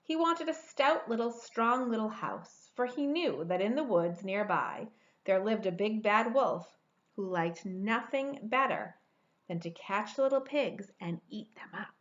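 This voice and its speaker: A woman's voice: mid-range voice, lively intonation, measured pace, lo-fi sound. A woman in her forties reading a children's storybook aloud expressively, stretching words for dramatic emphasis. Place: indoor room at home.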